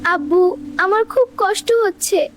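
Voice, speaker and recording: A woman's voice: very high voice, expressive, measured pace, soft background music. A woman voicing a little girl who pleads with her father in a cartoon. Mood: pained. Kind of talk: cartoon voice acting.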